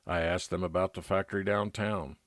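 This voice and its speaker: A very low male voice tells a private eye's story in the first person. The narration is scripted old-time radio drama, recorded close and dry. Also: steady tone, brisk, fairly bright tone, dry recording. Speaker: narrator playing a private investigator.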